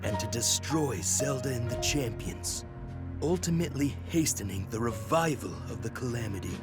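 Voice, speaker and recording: A formal, solemn chronicler of a fantasy game , voiced by a man. He reads scripted lore in a medium-pitched voice. Music plays clearly underneath. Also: animated, moderate pace, lightly acted. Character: solemn male chronicler of a legend. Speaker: video game narrator. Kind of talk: scripted dramatic storytelling narration.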